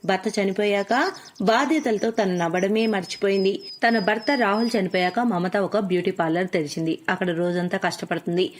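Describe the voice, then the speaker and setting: A woman with a medium-pitched voice narrates a children's tale. She reads from a script as the storyteller of an animated moral story. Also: normal speed, slight background noise.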